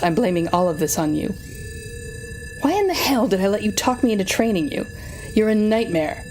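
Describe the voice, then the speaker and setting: A woman's voice: on the low side, lightly acted. A woman playing a sardonic, telepathic supervillain in an audio roleplay, speaking straight to the listener with dramatic, wry exasperation. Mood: grudging, exasperated. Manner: dramatic, wry, exasperated, halting when flustered.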